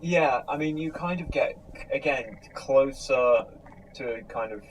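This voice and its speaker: Man, medium pitch, casual host, tentative halting delivery, slightly noisy recording. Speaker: host reading audience questions. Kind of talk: casual discussion. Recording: clear background noise.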